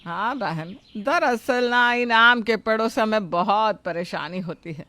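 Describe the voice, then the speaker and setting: A woman playing an old, grumbling, sharp-tongued mother-in-law in a moral story, nagging and complaining. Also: mid-range voice, measured pace.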